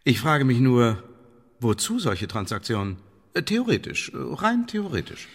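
A man's voice: medium pitch, very animated, measured pace, gravelly, husky, full character voice. A man acting in a radio play as a sardonic, calculating English spymaster with a dry, pointed, officious delivery. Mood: guarded, calculating. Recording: long hall reverb, music behind.

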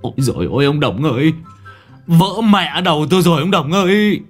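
A man's voice: a little high, lively intonation, brisk, soft background music, pitched above the performer's own voice. A man voicing a young man who howls after a pot hits his head. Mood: wailing. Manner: howling, wailing. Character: young man in pain, wailing.

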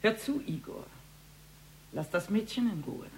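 A woman's voice: mid-range voice, expressive, unhurried, darkish tone, slight background noise, close to an everyday voice, rough recording. An actress playing a brazen, threatening female gang messenger in a radio crime drama, curt and commanding. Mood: cold, threatening.

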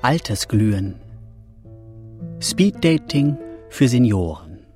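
Slow, animated male announcer. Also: mid-range voice, music in the background. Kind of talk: scripted radio drama announcement.

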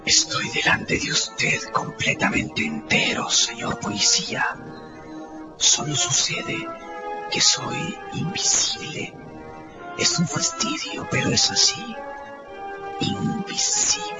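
Eerie whispering invisible stranger. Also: male voice, very high-pitched, big pitch swings, slow pace, loud music behind the voice, full character voice. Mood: smug, calm. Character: invisible man, eerie, smug, unhurried stranger. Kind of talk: radio drama acting.

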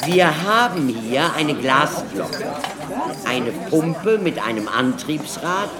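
An actor in a German radio play as a theatrical doctor who lectures an audience like a showman, declamatory and deliberate. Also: on the high side, big pitch swings, over a noisy crowd. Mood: showy, instructive.

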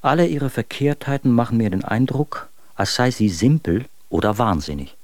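An actor playing a bitter, tormented young husband and poet, reading confessional letters in a German radio drama. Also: male voice, mid-range voice, animated, moderate pace, dry recording, close to an everyday voice. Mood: scornful.

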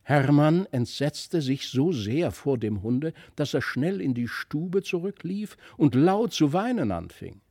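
A man's voice: fairly high pitch, very animated, measured pace, few pauses, darkish tone, no echo, studio quality. A man narrating a literary fairy tale expressively, as an audiobook reader.

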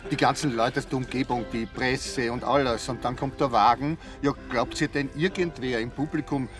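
A man acting as a worried, complaining villager in a radio crime play. His mid-pitched, emphatic voice sits back from the microphone, with music behind. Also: fairly even intonation, normal speed, natural voice. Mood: exasperated. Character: worried male villager arguing over a parade. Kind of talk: German radio crime drama dialogue. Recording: distant from mic, music behind.

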